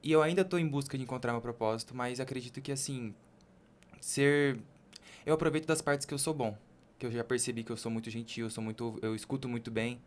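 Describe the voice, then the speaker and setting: Fast-talking young Brazilian man. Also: medium pitch, fairly even intonation. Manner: colloquial, candid. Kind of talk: casual personal conversation.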